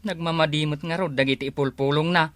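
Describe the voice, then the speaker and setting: A man's voice: on the high side, steady tone, quick pace, fairly bright tone, close to an everyday voice. An actor playing a village watchman sidekick who eggs on his chief in a comedic radio drama. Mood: goading.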